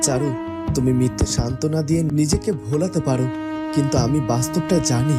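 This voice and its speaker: A woman's voice: low voice, fairly quick, over loud music, close to an everyday voice. A woman playing a despairing, bitter crown prince and grieving father in a cartoon. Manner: defeated, bitter, sorrowful.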